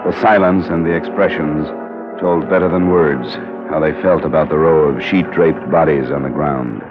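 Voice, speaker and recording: Male, American, tough vintage-radio detective. Deep, matter-of-fact delivery, dulled old recording. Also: normal speed, lo-fi sound, music in the background. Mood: grim, matter-of-fact. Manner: clipped, hard-boiled, matter-of-fact. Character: tough, level-headed male freelance insurance investigator. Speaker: lead actor and narrator. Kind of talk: 1940s-50s radio detective acting, first-person narration.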